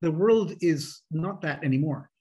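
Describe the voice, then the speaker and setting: A middle-aged man with a husky voice, explaining his views as a panel speaker on a video call. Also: a little high, expressive, unhurried, a little mellow. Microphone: video call microphone.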